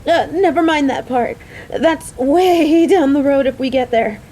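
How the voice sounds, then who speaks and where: Woman acting caring, motherly coworker, soft mellow voice. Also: high voice, measured pace, slight background noise. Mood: tender, earnest. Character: caring, motherly female warehouse coworker, smitten and fretful. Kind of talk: scripted romantic audio roleplay spoken to the listener.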